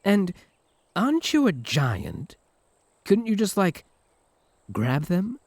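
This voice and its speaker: A man's voice: high voice, huge pitch swings, takes it slowly, a little mellow, no room sound, heavily acted. A man with a British accent playing a smug, teasing, possessive incubus in a comic audio roleplay. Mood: smug, taunting. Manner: mocking, flirtatious banter.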